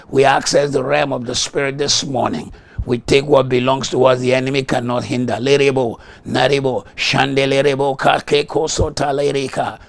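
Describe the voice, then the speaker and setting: A middle-aged male pastor, a Yoruba native speaker, preaching a fervent televised morning sermon and breaking into rhythmic bursts of rapid tongues, recorded on a lapel mic in a TV studio. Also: mid-range voice, gravelly. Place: TV studio set.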